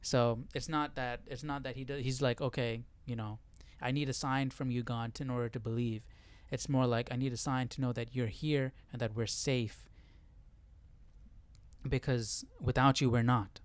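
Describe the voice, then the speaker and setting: Man, livestream host, informal commentary, conversational, rhetorical check-ins, medium pitch, very dry sound. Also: fairly even intonation, normal speed, clear, resonant tone, no room sound. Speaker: host of a Bible study livestream. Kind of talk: casual conversational Bible commentary to viewers.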